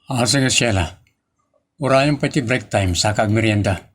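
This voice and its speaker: A man's voice: lowish voice, unhurried, fairly bright tone. A man with a Filipino accent playing a stern, authoritative office boss who scolds his staff in a radio-style drama. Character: stern male office boss, commanding and exasperated.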